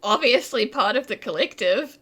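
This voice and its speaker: A woman's voice: fairly high pitch, expressive, normal speed, sharp tone, husky. A woman giving casual commentary while playing through a video game. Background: faint game music.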